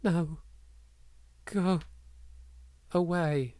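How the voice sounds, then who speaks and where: Horror game heroine, young woman played by a man: high-pitched, slow, stammering, begging in fear. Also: steady tone, mellow, no echo. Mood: frightened. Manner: stammering, pleading. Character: terrified young woman pleading for her life. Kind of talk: visual novel dialogue read aloud.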